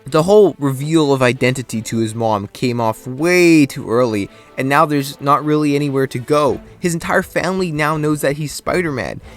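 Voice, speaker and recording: A young man with a stuffy, congested nose narrating a scripted game review, enthusiastic and opinionated. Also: mid-range voice.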